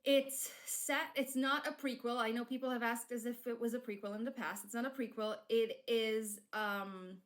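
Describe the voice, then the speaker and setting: A woman whose first language is Polish chats loosely through a live stream. Her voice sits a bit high, and she keeps restarting her sentences and filling the gaps with ums. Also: steady tone, moderate pace, few pauses. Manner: chatty, many fillers and restarts, laughs often. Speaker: streamer and fanfic writer. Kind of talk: casual live-stream chat.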